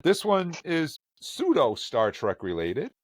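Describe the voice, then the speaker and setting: A man's voice: a little high, huge pitch swings, slow. A man hosting a podcast, chatting casually and joking while running trivia. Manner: joking, runs the trivia segment. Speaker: podcast host. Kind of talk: casual chat.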